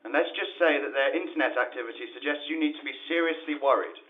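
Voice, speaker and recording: A man's voice: mid-range voice, quick pace. A man playing a terse, grave security official heard through a telephone filter in a radio drama. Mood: urgent. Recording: thin band-limited phone line.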